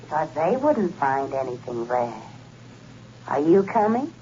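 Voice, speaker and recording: A woman's voice: low voice, very animated, a little slow. Teasing murdered woman's ghost. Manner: soft, teasing and coaxing. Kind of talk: 1960s radio drama acting. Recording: dull, hissy old radio recording.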